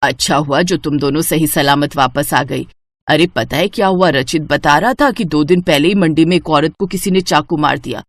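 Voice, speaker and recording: A woman puts on an older mother-in-law for a moral cartoon tale. Her pitch sits low. She is thrifty and watchful, handing out nagging household advice like a born lecturer. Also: moderate pace, dry recording. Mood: worried. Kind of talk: Hindi moral story cartoon acting.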